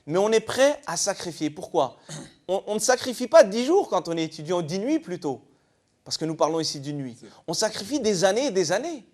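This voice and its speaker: A man from eastern France speaks in a high, very expressive voice. He explains scripture like a teacher on a panel, posing questions and answering them himself. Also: brisk. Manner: didactic, poses rhetorical questions. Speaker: religious scholar guest. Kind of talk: explanatory religious talk show discussion.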